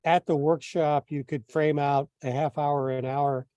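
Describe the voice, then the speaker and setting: A man taking part in a group teleconference, talking in a measured, courteous, businesslike way. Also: on the high side, level tone, warm tone, no echo. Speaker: meeting participant. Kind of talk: discussion on an online group call. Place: remote teleconference.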